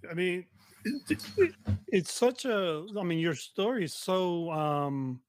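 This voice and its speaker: Rambling podcast host, higher male voice. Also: animated, slow, no echo, rough recording. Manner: rambling, with many restarts, likes and ums. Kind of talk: podcast interview.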